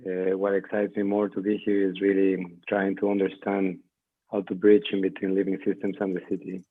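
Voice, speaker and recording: A man introduces himself on a video call. His low voice stays flat and understated. The call audio is tinny and phone-like. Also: normal speed. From Mexico. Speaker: panelist.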